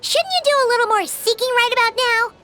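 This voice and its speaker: A woman voicing a tiny, bubbly, easily indignant girl companion in a video game, with a squeaky childlike voice and exclamatory delivery. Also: a little fast, bright, forceful tone. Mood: fretful. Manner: refers to herself by name, exclamatory. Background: game background music.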